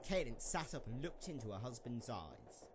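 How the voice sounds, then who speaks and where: A man's voice: mid-range voice, big pitch swings, moderate pace. A man narrating a scripted story as part of an amateur dramatic reading. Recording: slightly noisy amateur microphone recording.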